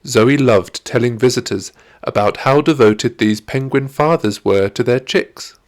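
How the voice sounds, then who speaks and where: A man's voice: mid-range voice, unhurried, darkish tone. A man reading a scripted children's story as an audiobook narrator.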